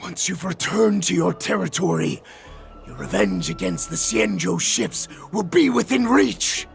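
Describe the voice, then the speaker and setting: A man voicing a fervent, servile wolf underling in a video game, gravelly, reverent and melodramatic. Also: sits high, big pitch swings, measured pace, soft background music, exaggerated voice. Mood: zealous, urgent. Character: fervent, servile male wolf underling, younger pack zealot. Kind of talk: dramatic video game voice acting.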